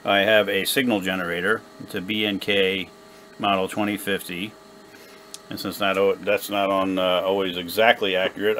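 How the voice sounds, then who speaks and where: A man with a deep voice talks through a hobby repair at his workbench. His delivery is unscripted and halting, with hesitations as he works things out aloud. He sounds like a hobbyist demonstrating hands-on work. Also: fairly bright tone, a little hiss or hum. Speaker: hobbyist radio repairer presenting his own video. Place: indoor workbench.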